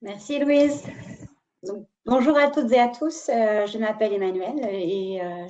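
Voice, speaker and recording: A woman from France with a French accent, giving a clear, slightly scripted webinar presentation about immigration. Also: mid-range voice, dark tone. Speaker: immigration promotion officer. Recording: dull lo-fi audio.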